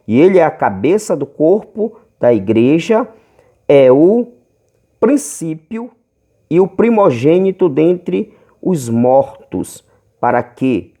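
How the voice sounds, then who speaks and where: Brazilian guy preaching bible stuff, mellow dark voice, keeps saying brothers, drags out words. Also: on the high side. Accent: Brazilian Portuguese. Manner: reads verses slowly, stretching key words; fervent, calls listeners brothers often. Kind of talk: home Bible teaching video.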